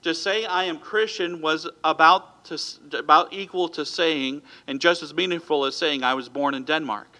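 A man's voice: fairly high pitch, moderate pace, hardly any pauses, pushed and bright. A man from America preaching a sermon as pastor in a church, earnest, with some restarts and stumbles, moving into prayer. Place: church sanctuary.

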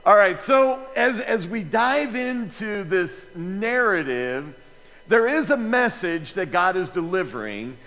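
A man preaching a sermon as pastor, chatty and lively, with asides to the congregation. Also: high-pitched. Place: church sanctuary. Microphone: microphone with feedback issues. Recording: long hall reverb, faint ambience.

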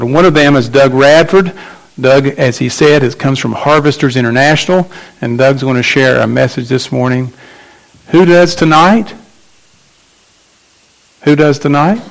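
A man's voice: medium pitch, moderate pace, rough recording. A man, the pastor, making church announcements and introducing a guest speaker, quizzing the congregation on who speaks tonight. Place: church sanctuary.

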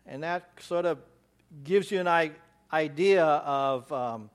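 An American missionary giving a church slide presentation, rambling with many fillers and restarts. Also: male voice, a little high, takes it slowly, smooth. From United States.

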